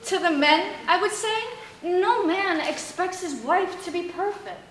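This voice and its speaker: A young female student acting as a brisk, confident marriage counselor in a theatrical fairy-tale stage comedy. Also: very high voice, a bit bright. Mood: brisk, authoritative. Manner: theatrical, declamatory. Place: school stage performance, recorded from afar. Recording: distant mic, echoey stage, background hiss.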